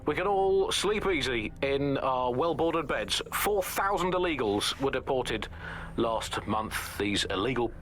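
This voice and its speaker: British male satirical podcast host, theatrical and mock-pompous, mid-range pitch, slight noise behind. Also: lively intonation, a bit bright. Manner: mock-pompous, theatrical delivery with deliberate emphasis. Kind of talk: satirical news comedy banter.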